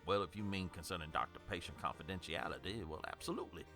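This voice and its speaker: An actor playing a flustered, formal older doctor in an audio drama, hesitant and stammering. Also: male voice, sits low, fast, gravelly, bright, forceful tone, soft background music, full character voice. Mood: formal, puzzled.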